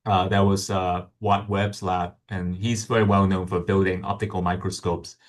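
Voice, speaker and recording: A man's voice: deep voice, level tone, normal speed. A man from Hong Kong, a guest scientist answering interview questions in a hesitant way, with many ums and restarts.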